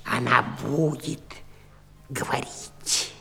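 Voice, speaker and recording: An actor playing a fussy, deferential animal in a 1960s children's audio play, in a gravelly, rough voice. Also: male voice, medium pitch, drawn-out words, bright, forceful tone, soft background music, heavily acted. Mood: fussy, hushed.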